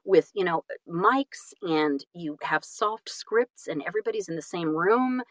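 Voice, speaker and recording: Female interview guest, slightly low-pitched, self-deprecating storyteller, casual and emphatic, very dry close sound. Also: measured pace, no echo. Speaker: guest, audiobook narrator and actress.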